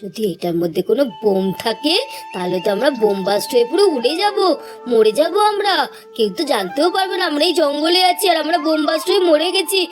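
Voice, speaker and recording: Anxious young boy, voiced by a woman: high, rapid, pleading delivery, children's doll cartoon. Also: expressive, music in the background. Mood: frightened. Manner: nervous, pleading.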